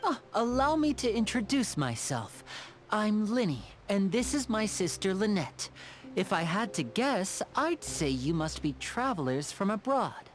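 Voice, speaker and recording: A woman playing a suave, courteous young man in a video game, smooth-talking, theatrical and playful. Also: mid-range voice, big pitch swings, a little slow, soft background music. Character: suave young male magician, courteous, playful older brother. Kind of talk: English video game voice acting.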